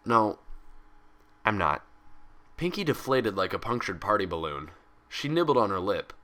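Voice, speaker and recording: A man narrating fan fiction from a script in an expressive storytelling style. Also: lowish voice, a little fast.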